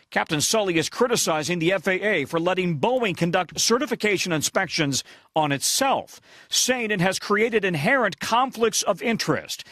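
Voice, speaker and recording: A man's voice: high voice, a bit bright, dry recording, clean studio sound. An American man working as a news correspondent, giving an emphatic, dramatic broadcast report.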